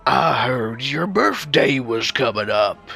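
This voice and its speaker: Man acting out sinister hulking animatronic, menacing, slow, hissing, taunting, horror audio drama. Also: medium pitch, expressive, creaky, pushed and bright, slightly rough, heavily acted.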